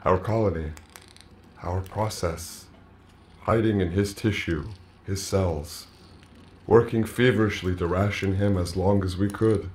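Alien plant collective, very low, grave and measured, noise bed behind. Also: male voice, a little mellow. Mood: grave, solemn. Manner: solemn, measured, speaks as "we". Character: ancient alien hive-mind plant colony speaking through a man, grave. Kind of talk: audio drama acting.

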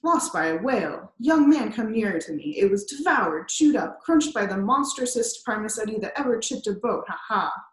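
A woman doing a gruff old sea captain. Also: mid-range voice, animated, fairly quick, fairly bright tone, roomy sound, natural voice, poor audio. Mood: gruff blustering. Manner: blustering, teasing, archaic speech.

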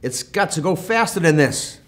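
A man's voice: on the high side, animated, moderate pace, a bit bright, pitched above the performer's own voice. An American man voicing eager young snowkids in a children's storybook read-aloud. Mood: impatient. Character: eager young snowkids planning and cheering each other on.

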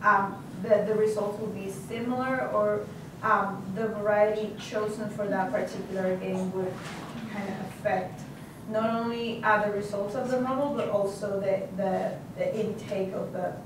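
A woman's voice: mid-range voice, fairly even intonation, normal speed, noisy background. A woman whose first language is Spanish, asking a hesitant question from the audience with many restarts. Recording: distant voice in echoey room.

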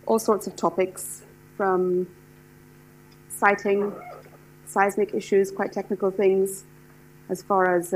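A woman's voice: medium pitch, a little hiss or hum, rough recording. Enthusiastic Irish woman lecturing. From Ireland. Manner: fast, enthusiastic, frequent restarts and fillers. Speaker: lecturer, standards specialist. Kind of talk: lecture to a class. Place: lecture hall with seated audience.

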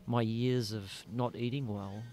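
A man's voice: medium pitch, fairly even intonation, unhurried, mellow. An Australian man hosting a casual podcast chat in a small studio, talking in a rambling way and swearing freely. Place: small dim studio room. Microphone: studio mic on boom arm, headphones.

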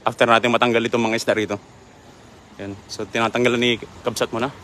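A man's voice: mid-range voice, little pitch movement, fast talker, bright, forceful tone, slight background noise. A young man hosting a live fishing stream outdoors by a river, greeting viewers by name in a chatty, polite manner, speaking into a handheld phone. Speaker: livestream host.

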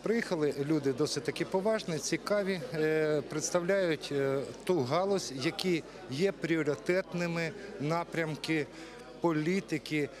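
A man in his fifties giving a halting, hesitant news interview into a handheld microphone. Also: on the high side. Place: exhibition hall. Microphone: handheld reporter microphone. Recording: busy crowd behind.

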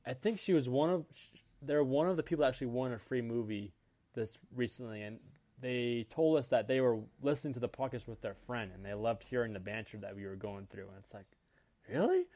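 A young man in casual podcast chat, animated and playful as he tells stories. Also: medium pitch, brisk. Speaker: podcast co-host, guest.

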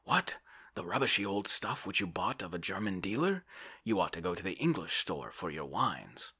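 Storybook bully voiced by a man: brash, hectoring, swooping emphatic delivery, sharp tone. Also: low-pitched, very animated, moderate pace, breathy edge, pitched above the performer's own voice, heavily acted. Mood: scornful, demanding. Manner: blustering, scolding, bossy. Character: brash, bullying, grasping Russian man, hectoring his friend. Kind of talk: audiobook character voice.

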